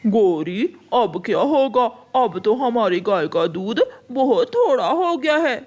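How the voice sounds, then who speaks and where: A woman's voice: a little high, lively intonation, slow, a little mellow. A woman voicing a scheming male crow in a children's cartoon. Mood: worried. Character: scheming male cartoon crow, hawker and fake menacing eagle.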